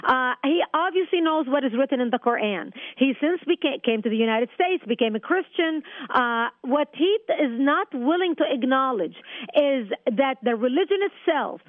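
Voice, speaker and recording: A woman's voice: high-pitched, lively intonation, normal speed, dry recording. A woman whose first language is Arabic, a guest calling in by phone and arguing insistently. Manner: insistent, forceful argument. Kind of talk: phone-in interview. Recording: tinny phone line.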